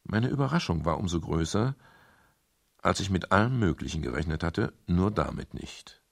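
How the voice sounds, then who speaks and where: A restrained, gentlemanly detective in a radio crime play. His middle-aged voice sits very deep and has a gravelly grain, with formal, measured phrasing. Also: lively intonation, heavily acted. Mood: measured, reflective. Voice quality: gravelly, husky. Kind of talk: German radio crime drama narration and dialogue.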